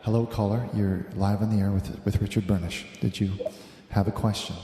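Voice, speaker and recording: A man hosting a live religious call-in show, speaking in a halting, hesitant, earnest way. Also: on the low side, fairly even intonation, dark tone, a little hiss or hum. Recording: echoey, reverberant room.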